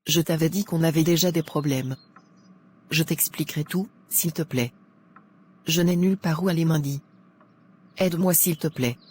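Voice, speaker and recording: A woman playing a meek, wounded young wife who pleads plaintively in an animated moral drama. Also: sits low, moderate pace, long pauses, a little hiss or hum, natural voice. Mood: pleading.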